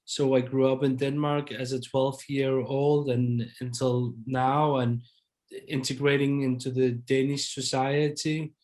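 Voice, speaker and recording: A man's voice: medium pitch, level tone, unhurried, darkish tone. A man in his thirties, from Iraq, a documentary director answering panel questions in a hesitant way, with fillers and restarts.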